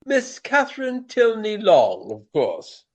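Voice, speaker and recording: An actor playing a vain, elderly Regency stage actor with a pompous, florid, courtly manner in a radio play. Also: male voice, high-pitched, wildly swooping pitch, slow, lo-fi sound, heavily acted. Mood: wistful, gallant.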